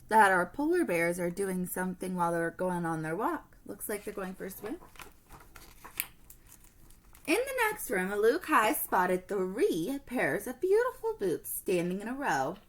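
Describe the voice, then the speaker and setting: A woman teacher reading a storybook aloud to children in an expressive storytelling manner. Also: medium pitch, normal speed.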